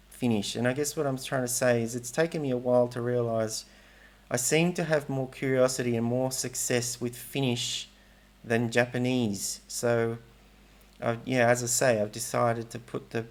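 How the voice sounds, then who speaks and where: Gravelly hesitant man, rambling vlog. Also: mid-range voice. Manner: rambling, hesitant, self-correcting. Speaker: language learning vlogger. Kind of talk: casual talking-head vlog.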